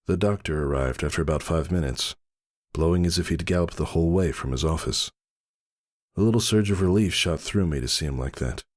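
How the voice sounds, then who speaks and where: Deep-voiced man reading a dramatic story. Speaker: story narrator. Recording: music behind the voice. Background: music.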